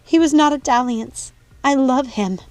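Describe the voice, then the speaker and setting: A woman's voice: sits high, unhurried, darkish tone, no room sound, faint music behind, voice pushed up. A woman voicing an impassioned young witch mother in an audiobook, defiant and pleading as she protects her child. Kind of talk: audiobook character dialogue.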